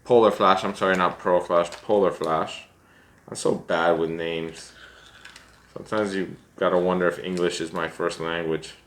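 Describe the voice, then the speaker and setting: A middle-aged man with a very low voice that still has a bright, forward edge. He walks through a fly-tying demonstration in a small room, chatting easily, thinking aloud about each choice and poking fun at himself. The sound comes from a camera at desk distance. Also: flat delivery, normal speed, slight background noise. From United States. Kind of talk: relaxed step-by-step tutorial.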